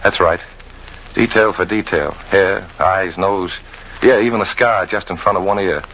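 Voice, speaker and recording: An actor playing a world-weary American jungle guide, wry and tough, in hard-boiled old radio drama style. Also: male voice, sits low, normal speed, fairly bright tone. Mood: stunned. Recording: muffled old radio recording, clear noise.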